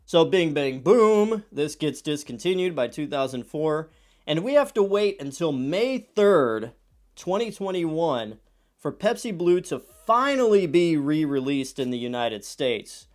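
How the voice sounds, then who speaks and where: A man's voice: fairly high pitch, measured pace. A man in his thirties, stuffed up from a cold, chatting casually through a soda review at his desk at home. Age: 30s. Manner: chatty and animated, acts out quoted voices. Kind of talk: casual product review vlog. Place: home room with shelves of soda cans. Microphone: camera at desk distance.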